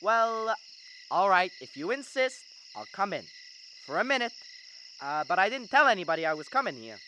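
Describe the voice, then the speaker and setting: A woman's voice: medium pitch, big pitch swings, normal speed, bright, forceful tone, slight background noise, poor audio. A young woman playing an awkward, defensive, stubborn young man in a school radio drama, hesitant and stammering. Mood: flustered. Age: young adult.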